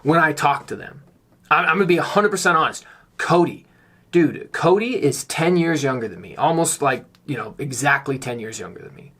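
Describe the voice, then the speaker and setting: A slightly hoarse man giving a candid, earnest vlog monologue, speaking emphatically as someone a little under the weather. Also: on the high side, animated, moderate pace, fairly bright tone. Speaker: YouTuber on real estate investing.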